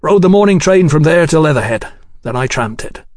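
A man's voice: fairly high pitch, expressive, a little fast, a little mellow, no echo, studio quality, voice pushed up. Man as a sceptical, learned English scientist. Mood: plain, friendly.

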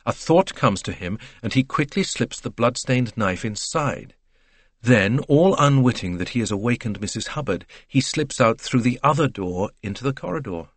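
A man's voice: mid-range voice, animated, normal speed, husky, no echo. Man acting an insistent, authoritative railway director. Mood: eagerly theorizing.

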